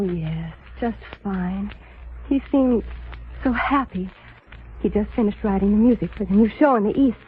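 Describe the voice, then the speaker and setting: Actress for anxious, deferential young woman, 1940s radio drama, high pleading voice, thin narrow-band vintage recording. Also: a little hiss or hum, lightly acted. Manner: pleading, polite. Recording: tinny, phone-like old radio recording.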